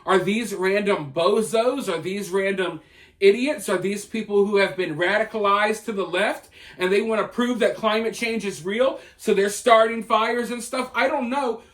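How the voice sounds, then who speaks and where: An American man with a notably high voice talks straight to his viewers as an online commentator. His delivery is conversational yet emphatic, leaning on rhetorical turns as he argues his opinion. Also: moderate pace, fairly bright tone.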